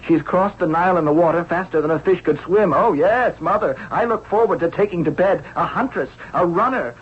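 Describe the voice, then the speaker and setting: Anxious high-voiced young prince. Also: male voice, fairly quick, breathy edge. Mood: bitter, scornful. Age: young adult. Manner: hesitant, plaintive, theatrical. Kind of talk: 1970s radio drama acting. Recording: muffled, noisy old radio recording.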